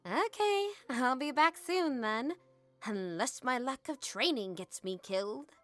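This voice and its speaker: A woman's voice: sits high, lively intonation, a little slow, fairly bright tone, soft background music. A woman playing a spirited, protective young huntress in an audiodrama. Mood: sarcastic sing-song. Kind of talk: audiodrama acting.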